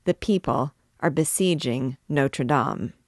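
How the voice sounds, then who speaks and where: Spiteful court barber, voiced by a woman, cold and deliberate, dry close sound. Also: very deep voice, no echo, exaggerated voice. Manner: cold, measured. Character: spiteful male royal barber, cold and sly.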